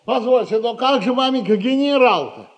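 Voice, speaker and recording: High-voiced pompous, wheedling merchant's son. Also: male voice, normal speed. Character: pompous, feckless middle-aged merchant son, wheedling his mother. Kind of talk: classic Russian stage comedy acting, 1960s radio play.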